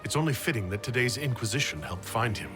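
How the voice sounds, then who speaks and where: A composed male leader, played by a game actor, speaks with measured courtesy and curiosity. The voice carries long reverb, with loud music underneath. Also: medium pitch, big pitch swings, natural voice. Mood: courteous, inquiring. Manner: polite, measured, inquiring. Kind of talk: fantasy video game dialogue.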